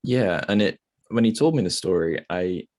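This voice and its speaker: A man's voice: deep voice, expressive, moderate pace, a little mellow. A man hosting a podcast, chatting casually about literature in a rambling, self-deprecating way. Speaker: podcast host.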